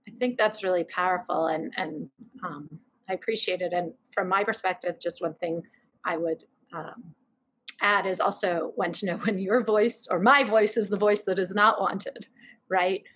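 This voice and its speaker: A woman's voice: fairly low pitch, fairly even intonation, normal speed, a bit bright, slightly rough, poor audio. A woman in her thirties facilitating a reflective group discussion over a video call. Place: living room, video call. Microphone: computer mic on video call.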